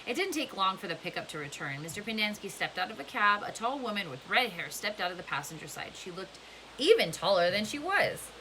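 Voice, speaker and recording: A woman narrating a novel aloud in an expressive storybook style, with a faint hiss in the recording. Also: mid-range voice, a little fast, poor audio.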